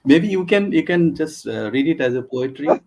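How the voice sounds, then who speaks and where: A man speaking as a podcast guest, hesitant and pausing often with fillers. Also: on the high side, lively intonation, vocal fry, darkish tone, rough recording. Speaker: guest, doctor and musician. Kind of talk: casual interview chat.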